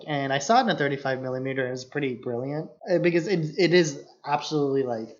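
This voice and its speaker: A man with a somewhat high voice co-hosts a film podcast. His talk is casual and informal, peppered with filler "like". The sound is slightly dull and lo-fi. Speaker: podcast co-host.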